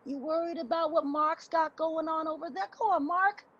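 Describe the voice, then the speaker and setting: A woman's voice: extremely high pitch, fairly even intonation, moderate pace, a bit bright, dull audio. A woman playing a defiant, needling homeless woman in a dramatic play reading, confrontational and sardonic.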